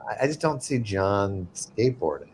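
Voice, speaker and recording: A man's voice: fairly low pitch, animated, normal speed, a little hiss or hum. A man in casual banter with friends, playfully teasing. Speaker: panel game player.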